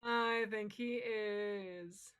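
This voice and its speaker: A woman's voice: mid-range voice, steady tone, extremely slow, fairly bright tone, clear, resonant tone, no room sound. A woman chatting casually as a co-host on a podcast.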